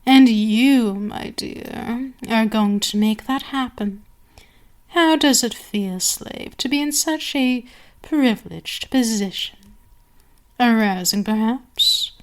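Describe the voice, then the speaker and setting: A woman acting an imperious, slow-speaking vampire queen. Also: fairly high pitch. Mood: mocking, taunting. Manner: haughty, deliberate, with lingering pauses and breathy whispers. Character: imperious female vampire queen, seductive, cruel, domineering. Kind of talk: ASMR roleplay acting.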